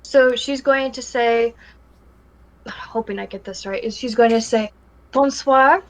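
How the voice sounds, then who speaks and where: A chatty woman plays in a tabletop session, giggling often as she talks. Her voice sits fairly high. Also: a little slow, low-quality recording. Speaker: player. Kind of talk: casual tabletop banter.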